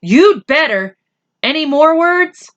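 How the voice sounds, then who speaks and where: A woman's voice: high-pitched, sing-song, slow, dull audio, pitched above the performer's own voice, heavily acted. A woman voicing a sarcastic, bossy schoolboy brother in a storybook reading, scornful and demanding. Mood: irritated, demanding.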